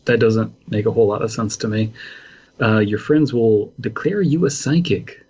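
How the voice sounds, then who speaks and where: Husky low male voice, dull recording. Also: moderate pace, darkish tone. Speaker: podcast co-host and narrator. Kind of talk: casual chat and reading aloud. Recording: dull, lo-fi microphone sound.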